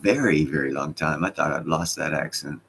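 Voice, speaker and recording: A man's voice: very deep voice, moderate pace, creaky, fairly bright tone, slight background noise. A man with a slight Scottish accent hosting a casual livestream, reading chat comments and joking with loose, profane banter.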